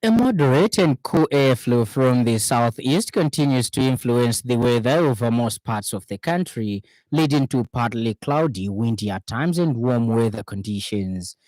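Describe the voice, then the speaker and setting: A man with a Zambian accent reading a scripted radio news bulletin in a formal, measured way. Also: mid-range voice, expressive, a little mellow, no echo, low-quality recording. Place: radio studio.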